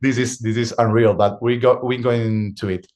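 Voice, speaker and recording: Spanish-accented man, halting and searching. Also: medium pitch, steady tone, a little mellow. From Spain. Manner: halting, searching for words with many restarts. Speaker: guest. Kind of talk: conversational interview.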